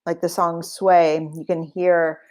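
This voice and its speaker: A woman's voice: fairly low pitch, little pitch movement, slow, a little mellow. A woman musician talking casually in an interview, reflective, with many fillers. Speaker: singer and guitarist.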